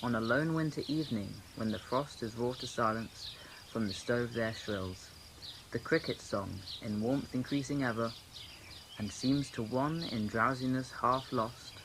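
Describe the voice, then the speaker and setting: A man recites verse in a measured way, his voice at an average pitch. Chirping insects and outdoor ambience are clearly audible behind him. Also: noisy background. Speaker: poetry reader. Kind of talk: recited poetry reading. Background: insect and nature sounds.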